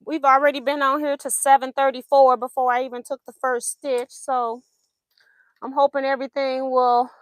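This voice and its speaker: Chatty high-voiced woman quilting live. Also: level tone, moderate pace, dry recording. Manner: chatty, laughs often, reads viewer comments aloud. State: voice going in and out. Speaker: quilting livestream host. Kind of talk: casual livestream chat while sewing.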